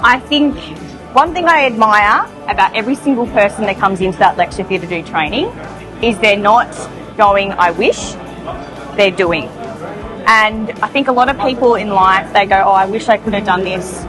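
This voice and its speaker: Upbeat woman, training manager, bubbly and loud, music bed. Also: medium pitch, normal speed. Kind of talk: interview answers. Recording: music bed under the talk.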